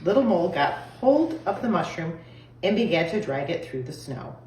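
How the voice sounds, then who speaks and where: A woman reading a children's story aloud in an expressive style, recorded in an echoey room. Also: on the low side, moderate pace. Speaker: storybook reader. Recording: echoey room, distant mic, faint noise.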